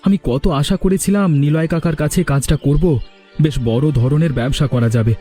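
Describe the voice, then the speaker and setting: A man's voice: a little high, lively intonation, a little fast, dark tone, soft background music. A man voicing an earnest, concerned young village man in a cartoon. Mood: disappointed. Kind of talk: cartoon character dialogue.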